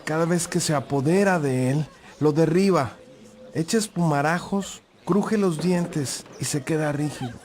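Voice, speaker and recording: A man acting as a desperate father begs for help for his afflicted son in a dramatized scripture production. His voice sits fairly high and pleads dramatically, with a faint crowd in the background. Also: unhurried, darkish tone, close to an everyday voice. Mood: distressed. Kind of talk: dramatized audio Bible acting.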